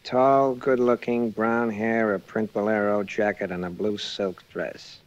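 A man's voice: fairly low pitch, little pitch movement, unhurried, lightly acted. An actor playing a slick, sarcastic man with brusque, clipped delivery in a 1940s radio drama. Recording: muffled 1940s radio recording.

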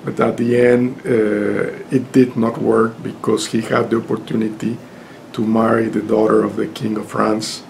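An older man with a Mexican Spanish accent lectures slowly to a webcam in his book-lined study. His voice is gravelly, and he pauses often on fillers and restarts, with a faint room hum behind him. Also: lowish voice, flat delivery, darkish tone. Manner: halting, many fillers and restarts. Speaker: lawyer and lecturer. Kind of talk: informal spoken lecture to camera. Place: home study with bookshelves. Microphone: webcam at desk.

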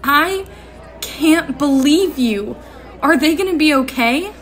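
A woman as exasperated, high-voiced young woman. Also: lively intonation, slow, a little hiss or hum. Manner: exasperated, incredulous. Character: despairing, exasperated young woman, guarded and sardonic. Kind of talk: comic book dub, dramatic acting.

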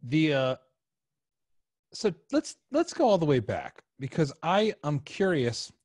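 A man's voice: a little high, very animated, slow, a little mellow. A man in his forties hosting a podcast, asking questions in a casual interview style. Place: furnished room, indoors. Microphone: desk microphone, headset headphones.

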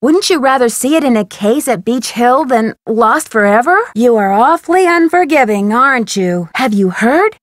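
A woman's voice: a little high, lively intonation, moderate pace, few pauses, no room sound, studio quality, natural voice. An actress playing a polite, inquisitive young female detective in a video game, courteous and articulate as she probes. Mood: probing, concerned.

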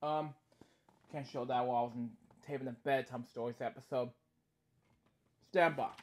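A man in his thirties hosting a bedtime story series, reading a children's book aloud in short halting lines with casual, repetitive asides. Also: mid-range voice, steady tone. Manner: halting, repeating stock phrases between short read lines. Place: small indoor room at home.

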